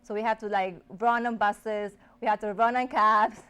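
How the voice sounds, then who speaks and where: Smooth-voiced young woman, native Spanish speaker. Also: medium pitch, steady tone, moderate pace, fairly bright tone. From Ecuador. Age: young adult. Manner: chatty, many "like" fillers. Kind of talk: casual studio interview answers. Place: TV studio.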